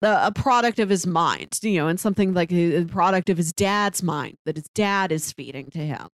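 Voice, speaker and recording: A woman's voice: fairly low pitch, normal speed, no echo, clean studio sound. A woman co-hosting a casual TV review chat, thinking aloud and restarting her phrases as she goes. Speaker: podcast co-host.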